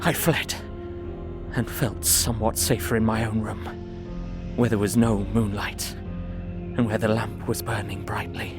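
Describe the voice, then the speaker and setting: A man's voice: on the low side, steady tone, a little slow, over music, close to an everyday voice. A man playing a frightened, despairing young prisoner who narrates his journal earnestly and intensely in an audio drama. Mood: rising panic.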